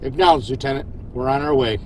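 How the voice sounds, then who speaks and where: A man's voice: mid-range voice, fast, darkish tone, a little hiss or hum, lightly acted. A man playing a genial, wry starship captain in a science fiction audio drama. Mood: formal, commanding. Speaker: lead actor in audio drama.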